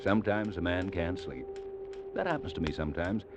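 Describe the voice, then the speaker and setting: A man's voice: low voice, sing-song, a little fast, noisy background. An American actor playing an earnest, fatherly ship's doctor in an old-time radio drama, plain-spoken and folksy. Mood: reflective. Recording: dull vintage 1950s radio recording. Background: clear dramatic music.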